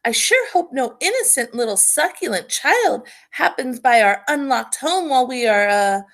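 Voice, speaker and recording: A woman's voice: high-pitched, very animated, moderate pace, a bit bright, voice pushed up, full character voice. A woman reading a children's storybook, voicing a scheming mama dinosaur with exaggerated, false innocence. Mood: falsely innocent. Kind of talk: children's storybook read-aloud.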